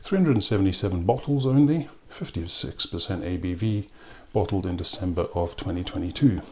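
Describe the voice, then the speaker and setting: A man from South Africa with a South African accent, reviewing a rum in a relaxed way with frequent pauses and ums. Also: low voice. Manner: unhurried, with frequent ums and restarts. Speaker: spirits reviewer. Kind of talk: casual spoken tasting review.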